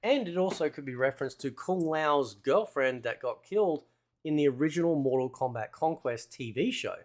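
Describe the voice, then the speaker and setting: A man's voice: on the high side, animated, moderate pace. A man giving casual, conversational gameplay commentary in a laid-back, slangy way, like a video game content creator.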